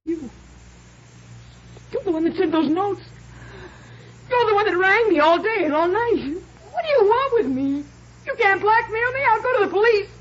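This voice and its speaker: Scared boozy dame from old radio drama, super high voice, overwrought, bit of hiss. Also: female voice, expressive, normal speed, pauses often. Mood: hysterical, sobbing. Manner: melodramatic, overwrought. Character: hostile, frightened alcoholic woman with a secret. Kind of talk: 1950s radio crime drama acting. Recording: dull, noisy old radio broadcast.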